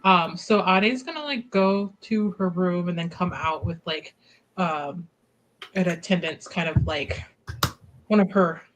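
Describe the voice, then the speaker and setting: A woman's voice: on the low side, unhurried, slight background noise, low-quality recording. A woman playing a tabletop roleplaying game, talking hesitantly with many fillers. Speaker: player. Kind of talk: casual tabletop roleplay chat.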